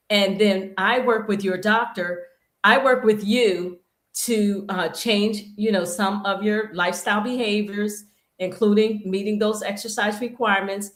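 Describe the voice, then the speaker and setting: A woman fitness professional, a guest on a live-stream talk show, who rambles and often restarts her sentences. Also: mid-range voice. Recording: echoey room.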